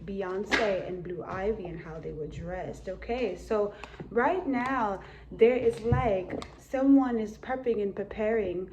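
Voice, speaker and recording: A woman improvising a spiritual tarot reading for an audience, speaking in halting phrases and often tagging statements to check the listener is following. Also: medium pitch, highs cut off, background noise. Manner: halting phrasing, punctuated with repeated checking tags. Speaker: tarot reader and psychic channeler.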